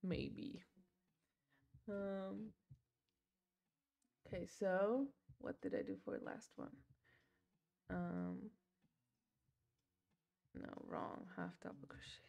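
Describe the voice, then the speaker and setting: A woman's voice: fairly low pitch, sing-song, drawn-out words, vocal fry, long pauses, darkish tone. Chatty young woman. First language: German. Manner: chatty, rambling, filler-heavy, laughs often. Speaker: livestream host. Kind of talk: casual chat while crocheting.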